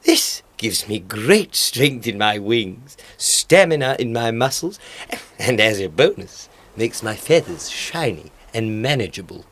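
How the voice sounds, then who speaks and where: A man's voice: on the low side, very animated, unhurried, fairly bright tone, husky, exaggerated voice. A man playing a boastful, self-important male dodo in a radio play, pompous and theatrical. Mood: smug.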